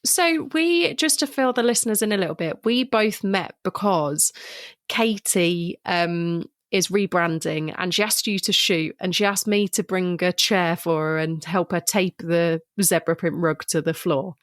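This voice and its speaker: Southern English woman, chatty podcast host, quick to laugh, lively delivery. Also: on the low side, no echo, clean studio sound. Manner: giggly, quick-laughing, animated. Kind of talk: casual podcast chat.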